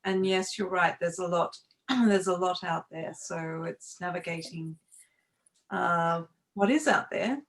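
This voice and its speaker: A woman's voice: lowish voice, takes it slowly. Woman hosting an online webinar. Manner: welcoming, talks with many fillers and restarts. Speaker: webinar host and coach trainer. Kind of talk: live online webinar presentation. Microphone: video call.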